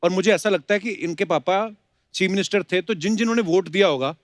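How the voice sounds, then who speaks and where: A man's voice: sits high, a little fast, no room sound, clean studio sound. A man hosting a talk show with teasing, joking banter between questions to guests. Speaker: comedy talk show host. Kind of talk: bantering comedy interview in Hindi mixed with English.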